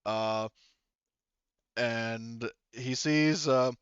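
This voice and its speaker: A man acting as game master, narrating a tabletop roleplaying game in a halting, thinking-aloud way. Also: mid-range voice, fairly bright tone, clean tone, no echo, dull audio. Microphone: headset.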